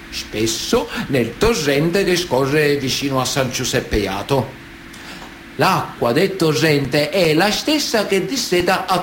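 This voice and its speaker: A chilling Sicilian mafioso in radio drama: Sicilian accent, fairly high male voice, widely swinging intonation, matter-of-fact storytelling. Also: a little hiss or hum. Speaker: actor in radio theatre.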